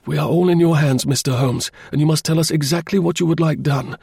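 A man voicing an anxious, earnest young gentleman client in a storybook, polite, deferential and eager. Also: on the high side, fairly quick, gravelly, husky, no room sound, studio quality, pitched above the performer's own voice. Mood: eager, grateful.

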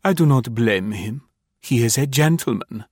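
A man voicing an elderly woman companion who speaks in a slow, reproving way. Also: medium pitch, expressive, breathy edge, dry recording, studio quality, voice pushed up. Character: fussy elderly female companion, disapproving.

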